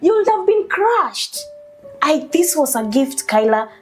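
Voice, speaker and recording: A woman's voice: high voice, big pitch swings, a little slow, faint music behind. A woman playing a defensive, scolding mother. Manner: dismissive, scolding. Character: controlling, defensive mother of a teenage girl.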